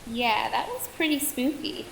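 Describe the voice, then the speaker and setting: A woman's voice: high-pitched, very animated, measured pace, vocal fry, a bit bright, heavily acted. A young woman acting as a curious listener among friends in an audio drama, recorded with echo and hiss. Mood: curious, eager. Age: young adult. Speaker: student audio drama actor. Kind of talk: casual acted conversation. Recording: roomy echo, faint hiss.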